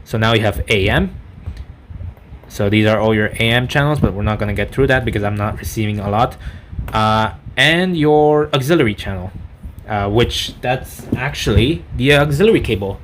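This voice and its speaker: A man giving an unscripted product review in a halting, rambling way, often correcting himself mid-sentence. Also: fairly low pitch, noisy background. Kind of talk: unscripted gadget demonstration.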